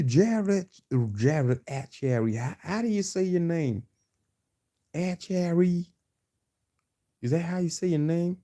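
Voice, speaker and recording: Gravelly, mellow man with Southern drawl. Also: on the low side. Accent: put-on Southern US drawl. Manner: slangy, repetitive, halting. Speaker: vlogger addressing followers. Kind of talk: rambling casual monologue to camera.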